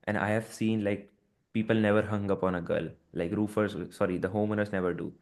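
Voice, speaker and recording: A man's voice: lowish voice, fairly even intonation, brisk, a little mellow. A man on a casual business call, sharing advice with a peer in an encouraging way, with frequent fillers.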